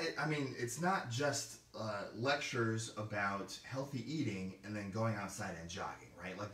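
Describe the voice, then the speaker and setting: A hoarse man hosting a casual livestream for a game store, rambling with many fillers and restarts. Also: on the low side, a bit bright, distant mic, slight background noise. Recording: roomy, mic set back.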